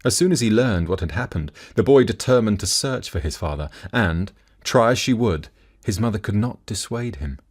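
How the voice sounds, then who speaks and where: A man's voice: fairly low pitch, expressive, normal speed, breathy edge. A man reading a fairy tale for children as a scripted narration. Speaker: storyteller.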